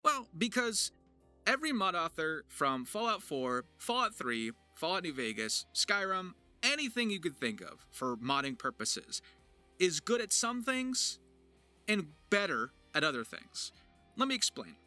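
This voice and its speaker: A man narrating an explanatory vlog as a creator and voice actor, with emphatic, deliberate phrasing and dramatic stresses. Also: sits high, big pitch swings, bright, forceful tone. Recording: music behind the voice. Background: music.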